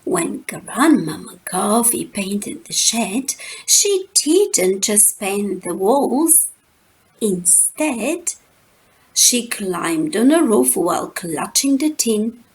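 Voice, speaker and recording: A woman reading a rhyming children's story aloud, expressively and rhythmically, with dramatic pauses and drawn-out words. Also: mid-range voice, very animated, poor audio.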